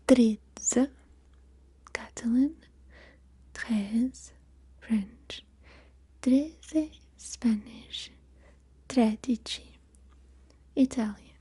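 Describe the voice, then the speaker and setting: Woman, whispered ASMR lesson, very slow and airy, mellow tone. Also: fairly high pitch, slightly rough. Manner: soft whispering, listing words slowly. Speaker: language teacher.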